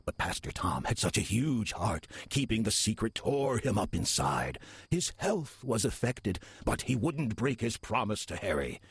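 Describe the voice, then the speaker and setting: An actor playing a sly, smooth-talking demon who poses as a kindly old church deacon, speaking with measured, insinuating courtesy in a horror audio drama. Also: male voice, on the low side, very animated, no echo, full character voice. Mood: smug persuasion. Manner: measured, insinuating, mock-polite.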